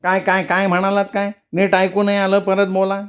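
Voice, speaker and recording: Man putting on a nasal, cranky old man, high-pitched. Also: monotone, moderate pace, fairly bright tone. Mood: querulous. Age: older. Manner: brusque, demanding people speak up. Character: cranky half-deaf old man, pompous amateur writer.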